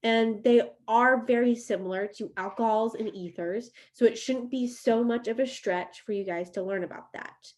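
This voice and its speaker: A woman's voice: on the high side, moderate pace, few pauses, darkish tone. A woman giving a recorded chemistry lecture while drawing, explaining step by step, her voice a little worn from coughing. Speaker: chemistry teacher.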